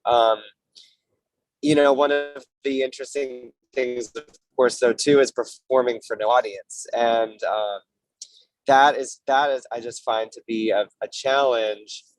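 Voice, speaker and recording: A man's voice: medium pitch, fairly even intonation, measured pace, no echo, poor audio. A man speaking casually as a theater director guest on a video call panel, chatty and loosely worded.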